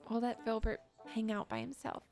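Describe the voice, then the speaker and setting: A woman's voice: fairly high pitch, little pitch movement, moderate pace, creaky, darkish tone, soft background music. A young woman streamer chatting casually with her viewers, giggly and talkative. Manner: giggly, chatty, talks to chat. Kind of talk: casual livestream chat.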